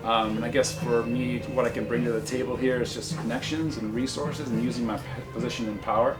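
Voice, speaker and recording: An American man giving a personal account at a meeting, speaking in a halting, reflective way. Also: medium pitch, fairly even intonation, music in the background, poor audio. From United States, Michigan. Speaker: community meeting participant.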